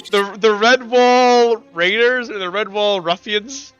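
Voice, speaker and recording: A man's voice: high voice, slow pace, a bit bright, clear, resonant tone. A man running a tabletop roleplaying game as game master, explaining things emphatically in casual talk over a lo-fi recording. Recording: dull lo-fi recording with music underneath. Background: music.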